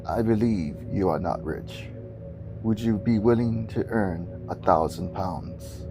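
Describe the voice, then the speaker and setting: A man voicing a ghastly, grim and secretive dying man in a Victorian ghost story, speaking in a low, hollow murmur. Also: slow, creaky, music in the background. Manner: low murmured, hushed delivery. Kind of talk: dramatic ghost story reading.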